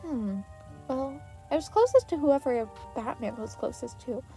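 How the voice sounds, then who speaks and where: A woman voicing an earnest, excitable teenage boy hero who gets flustered, in an anime fan story reading. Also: high-pitched, a little slow, faint music behind, pitched above the performer's own voice. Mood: fond, reminiscing. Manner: stammering when flustered.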